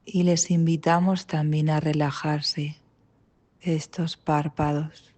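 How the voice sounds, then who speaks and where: A woman with an accent from Spain leading a guided meditation, calm and gentle, with long pauses between short instructions. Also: low voice. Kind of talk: guided mindfulness body scan meditation.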